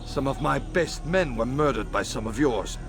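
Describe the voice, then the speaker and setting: A man's voice: mid-range voice, expressive, normal speed, music in the background, natural voice. An actor playing a haughty, suspicious crusader king in a video game, imperious and commanding. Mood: scornful. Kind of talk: video game cutscene acting.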